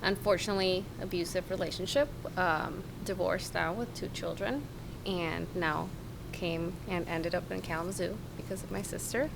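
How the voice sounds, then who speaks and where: Hesitant woman, gravelly, noisy recording. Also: on the low side, steady tone, normal speed. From United States, raised in Mexico. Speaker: podcast guest. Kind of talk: casual conversation.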